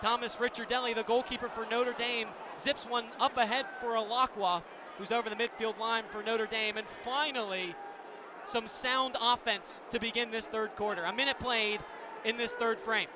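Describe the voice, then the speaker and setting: Male sports play-by-play, very high register, short live-action phrases, noisy outdoor broadcast. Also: normal speed, a crowd in the background. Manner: clipped present-tense phrases, rising to excited calls. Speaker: play-by-play radio announcer. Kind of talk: live lacrosse radio commentary. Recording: noisy outdoor stadium ambience.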